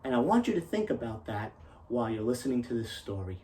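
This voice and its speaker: A man's voice: mid-range voice, big pitch swings, quick pace, warm tone, slight background noise. A man speaking as a teacher to schoolchildren in a plain, encouraging way, recorded at some distance from the microphone. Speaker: teacher and read-aloud host. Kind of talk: addressing schoolchildren on video.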